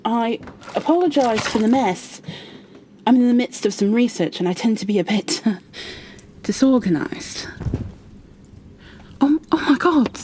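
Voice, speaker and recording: A woman playing a kind, flustered young bookkeeper in a fantasy ASMR roleplay, speaking softly and breathily, often near a whisper, with gentle hesitations and apologies. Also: a little high, animated, measured pace, pauses often, slight background noise. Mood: worried, caring. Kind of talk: ASMR roleplay, addressed to the listener.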